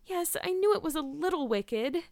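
A woman's voice: sits high, lively intonation, moderate pace, darkish tone, dry recording, studio quality, pitched above the performer's own voice. A woman voicing a despairing, wordy young girl in a storybook, pleading and earnest in a melodramatic way. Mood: earnest, defensive.

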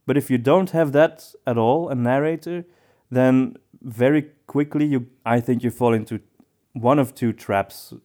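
A man's voice: medium pitch, a little slow, mellow. A man speaking as a podcast co-host in a casual discussion, giving his opinions as he thinks aloud.

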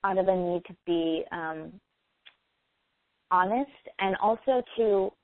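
Earnest woman guest on a tinny line. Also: lowish voice. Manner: earnest, halting, restarts phrases. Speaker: brand designer and guest. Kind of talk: casual interview answers. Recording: tinny phone-like line, dry.

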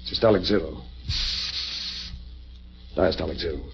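Uneasy, gravelly doubting surgeon. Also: male voice, low-pitched, a little slow, over music. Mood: nervous. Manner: hesitant, questioning. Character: uneasy, doubting male surgeon, reluctant assistant. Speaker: actor in a horror radio play. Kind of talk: old-time radio drama acting. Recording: muffled vintage radio recording.

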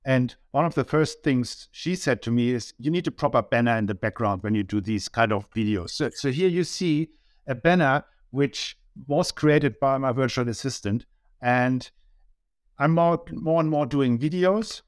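A man's voice: mid-range voice, expressive, normal speed, no room sound. German-accented man, dry close sound. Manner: earnest, many small restarts. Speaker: guest, business owner. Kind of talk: casual interview talk.

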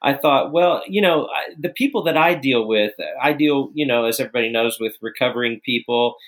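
A man's voice: mid-range voice, fairly quick. A man co-hosting a podcast who laughs often and rambles with fillers. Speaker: podcast co-host, works with recovering people. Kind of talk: podcast conversation.

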